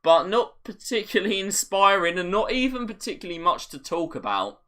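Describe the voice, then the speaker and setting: A man hosting a live watch-along, commentating on a match as a fan, his voice getting a little raspy. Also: big pitch swings, sharp tone. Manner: partisan, rises sharply on chances, drops low when play slows.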